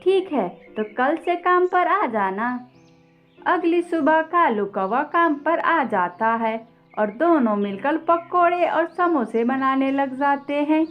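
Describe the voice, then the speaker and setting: A woman's voice: high-pitched, highs cut off. A woman narrating a scripted children's story as a storyteller.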